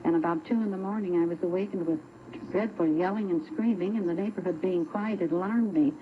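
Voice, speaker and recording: A woman with an American accent recounts her own story in an orderly, formal way. Her voice sits fairly low. The old radio recording is narrow and tinny, with faint noise. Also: a little fast. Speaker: show guest telling an anecdote. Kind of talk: unhurried personal storytelling.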